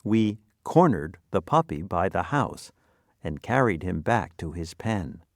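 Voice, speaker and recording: A man narrating a scripted vocabulary lesson in deliberate, clearly separated phrases. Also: sits low, sing-song, no room sound, studio quality.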